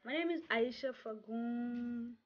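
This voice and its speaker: A woman's voice: on the high side, takes it slowly. A young woman from Nigeria with a Nigerian accent, chatting casually to camera as a vlogger, stressing points by repeating words. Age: young adult. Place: small room indoors. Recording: dull lo-fi sound, clear background noise.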